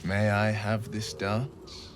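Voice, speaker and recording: A man's voice: fairly low pitch, monotone, slow pace. An actor playing a gallant, romantic young swordsman in a video game, courtly, poetic and earnest in manner. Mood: warm, courtly. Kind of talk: dramatic video game voice acting.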